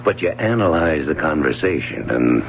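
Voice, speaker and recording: An actor playing an evasive, offhand swimming coach in an old-time radio drama. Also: male voice, rumbling low, lively intonation, moderate pace, creaky, a little hiss or hum, exaggerated voice. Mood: reflective. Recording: dull, humming old radio recording.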